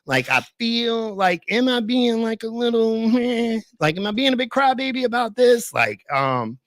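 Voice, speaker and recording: A man's voice: extremely high pitch, sing-song, normal speed, a bit bright, no echo, studio quality. A man telling a story casually and animatedly, with slangy, emphatic phrasing and frequent repetition. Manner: slangy, emphatic, repeats phrases, says "bro" and "dude". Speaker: podcast storyteller.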